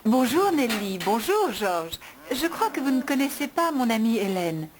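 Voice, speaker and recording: Lively, high-voiced young farm woman. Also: normal speed, noisy background. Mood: friendly. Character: friendly, trusting young farm woman, dreamy believer. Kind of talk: French cartoon dub acting.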